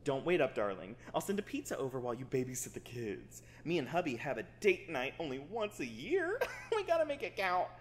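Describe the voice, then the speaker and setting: Actor doing a gleeful monster captor, theatrical sing-song taunts, pitch swinging all over, sickly sweet. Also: big pitch swings, a little fast, a little hiss or hum, close to an everyday voice. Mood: gleefully menacing. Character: gleeful sadistic monster captor, mocking, cloyingly affectionate. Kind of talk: ASMR roleplay audio drama.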